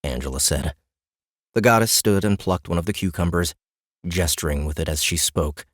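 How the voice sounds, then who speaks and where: A man narrating a scripted fantasy audiobook in an expressive storytelling manner. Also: deep voice, moderate pace, no echo, clean studio sound. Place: dry recording booth.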